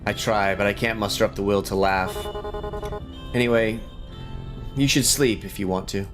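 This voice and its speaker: Man playing a weary male road survivor in a story game, low register, wistful and reflective, music underneath. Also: moderate pace, natural voice. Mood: wry, weary. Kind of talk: reading game dialogue aloud.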